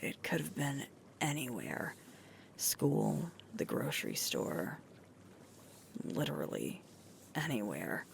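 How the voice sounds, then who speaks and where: A woman playing a sweet, tired alpha werewolf girlfriend in an intimate roleplay ASMR, murmuring softly and breathily close to the microphone. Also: rumbling low, sing-song, slight background noise, exaggerated voice. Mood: concerned, gentle. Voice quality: breathy, creaky, often whispering. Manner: slow, soft, unhurried intimate murmur with pauses.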